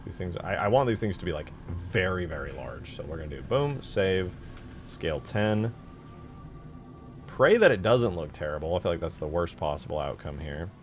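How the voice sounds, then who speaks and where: A man's voice: sits low, lively intonation, moderate pace, soft background music. A man giving casual commentary as he works on screen, thinking aloud as he goes. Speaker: game modder hosting a video.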